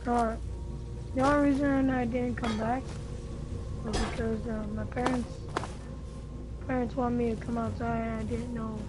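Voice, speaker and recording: A woman's voice: fairly high pitch, level tone, a little slow, long pauses, poor audio. A young woman chatting casually with a friend while gaming. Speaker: gamer streaming with a friend. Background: game music.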